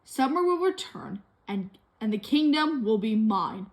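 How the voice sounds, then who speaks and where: A villainous young prince played by a woman from a storybook, sneering and scheming. The voice is fairly high, with widely swinging pitch. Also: very animated, unhurried. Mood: sneering, gloating. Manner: sneering, drawn out.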